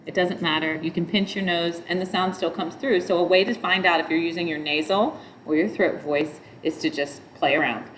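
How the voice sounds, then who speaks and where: A middle-aged woman with a nasal voice, teaching yoga in a relaxed, rambling way. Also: fairly low pitch, moderate pace, hardly any pauses, a little hiss or hum, rough recording. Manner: chants mantras in a nasal sung tone between explanations. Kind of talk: spoken yoga instruction.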